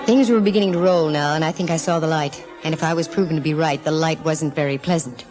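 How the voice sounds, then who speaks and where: A woman's voice: deep voice, fast talker, over music, natural voice. A woman playing a gutsy, wisecracking private detective in 1940s radio drama, with a breezy, sardonic, hard-boiled manner. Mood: wry, storytelling. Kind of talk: 1940s radio acting with first-person narration.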